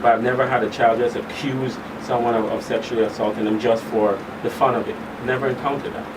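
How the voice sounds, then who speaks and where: A man speaking as an expert guest in a radio interview, explaining in a halting, deliberate way and repeating phrases for emphasis. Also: mid-range voice, little pitch movement. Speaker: expert guest, school counselor. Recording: distant mic, clear hum and background noise.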